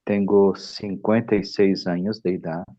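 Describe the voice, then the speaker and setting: A man with a low, mellow voice interprets a talk phrase by phrase. He relays each line plainly, and the recording sounds dull and muffled. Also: flat delivery, unhurried, no echo. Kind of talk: consecutive interpreting.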